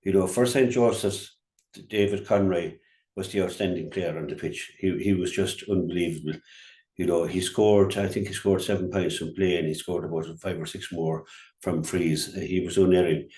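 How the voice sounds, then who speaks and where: An Irish man giving an unscripted sports match review as a radio pundit, halting and repeating his words as he goes. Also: low voice, fairly even intonation, dark tone. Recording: roomy, echoey sound.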